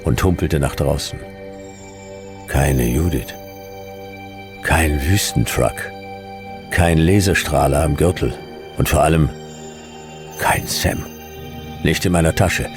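Noir sci-fi detective narrator: rock-bottom pitch, unhurried, deadpan, music playing underneath. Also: male voice, exaggerated voice. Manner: terse, clipped phrases, deadpan. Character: laconic, hard-boiled male detective narrator in sci-fi desert. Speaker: narrator and lead actor. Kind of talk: noir first-person radio drama narration.

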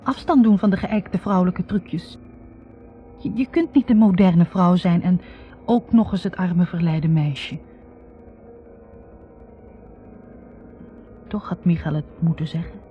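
An actress playing a bitter, reflective young woman academic in a 1960s radio drama, speaking in a halting, confessional way. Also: on the low side, slight background noise, natural voice. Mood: resolute, regretful. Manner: halting, confessional, repeating words. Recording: muffled 1960s radio recording.

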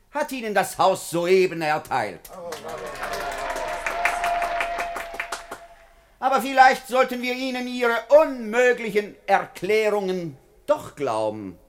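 A scornful politician addressing parliament in a 1950s radio play. His voice is high and highly animated, rising and falling widely. He declaims rhetorically, mocking as he accuses. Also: measured pace, slight background noise. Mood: mocking, accusing. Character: scornful, sardonic parliamentary orator attacking a minister. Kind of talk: 1950s German-language radio play acting.

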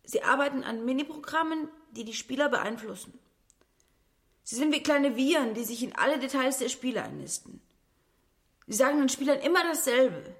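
High, creaky-voiced female agent. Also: animated, normal speed. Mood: terse, urgent. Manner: terse, insistent. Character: stubborn, tough young female cyber agent. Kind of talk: radio drama acting.

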